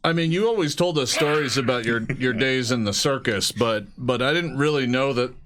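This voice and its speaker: A man narrating a tabletop game as game master, improvising haltingly and often repeating his words. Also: mid-range voice, noisy background.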